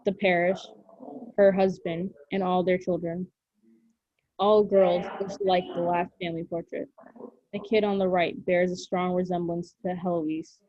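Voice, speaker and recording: A woman reading scripted stage directions as narrator of a drama, in short, measured phrases. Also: lowish voice, level tone, lo-fi sound.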